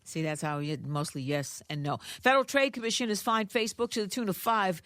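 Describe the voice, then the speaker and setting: A woman newsreader on a radio show with a mid-pitched voice. She delivers the news conversationally, in a very dry, close studio sound. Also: lively intonation, fairly quick, a bit bright, dry recording. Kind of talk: conversational news reading with asides.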